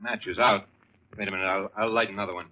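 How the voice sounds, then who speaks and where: Serious doctor in old radio thriller, low husky voice, insistent, muffled audio. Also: male voice, steady tone, fast talker. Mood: tense. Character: grave, insistent male doctor. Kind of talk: 1940s radio thriller acting. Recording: muffled vintage radio recording.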